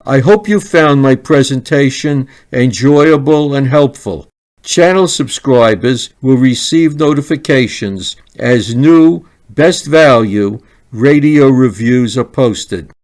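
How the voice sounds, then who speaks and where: A man reading a scripted, step-by-step product review in a measured, instructional manner. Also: mid-range voice, darkish tone.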